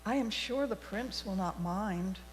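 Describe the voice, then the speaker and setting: Lady reading a storybook at a church service, takes her time, expressive, lots of hall echo. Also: medium pitch, unhurried. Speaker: worship leader telling a story for all ages. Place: large indoor congregation space. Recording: echoey hall with mains hum.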